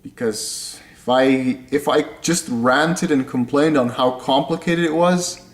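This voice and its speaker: A young man from Indonesia, explaining things step by step in a casual vlog, talking slowly and often repeating or correcting himself. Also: on the high side. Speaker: vlogger. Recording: echoey room.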